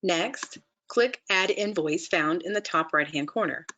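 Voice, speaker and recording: A woman narrating an instructional software demonstration in a methodical, explanatory way, step by step. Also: lowish voice, measured pace, a little hiss or hum. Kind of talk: instructional screen-share walkthrough narration.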